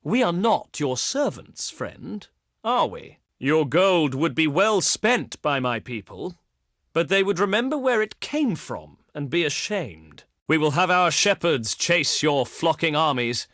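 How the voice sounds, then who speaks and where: A man playing a haughty, wry ancient Greek diplomat in a strategy game, with pompous, courtly delivery and dramatic pauses. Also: a little high, very animated, fairly bright tone, dry recording, clean studio sound. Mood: smug, offended, then stern, indignant. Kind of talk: theatrical scripted game dialogue.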